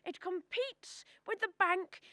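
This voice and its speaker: Radio play role: young woman as a scornful, pompous businessman, very high-pitched, unhurried, dry close sound. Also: pushed and bright, no room sound, heavily acted. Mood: impatient, demanding. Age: young adult. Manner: pompous, emphatic. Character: stern, scornful businessman demanding to shut a company down. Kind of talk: student radio drama acting.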